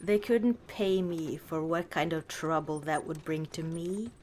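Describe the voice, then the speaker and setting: A woman playing a warm, teasing orc chieftain wife in an unhurried, dryly dismissive ASMR roleplay monologue. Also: deep voice, slight background noise. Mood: fond storytelling.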